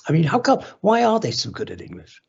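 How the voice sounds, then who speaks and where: A man's voice: a little high, huge pitch swings, fast talker, gravelly, husky. A man hosting an interview, asking long questions full of fillers and restarts. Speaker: interview host. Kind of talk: casual interview conversation. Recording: dull lo-fi audio.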